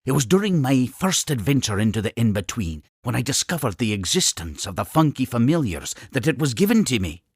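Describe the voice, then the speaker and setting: A man's voice: medium pitch, big pitch swings, fairly quick, hardly any pauses, husky, no room sound, studio quality. A man voicing an exuberant, eccentric Scottish explorer-inventor creature in a cartoon game, grandiose and effusive with old-fashioned phrasing. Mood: proud, showy. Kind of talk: theatrical cartoon game character dialogue.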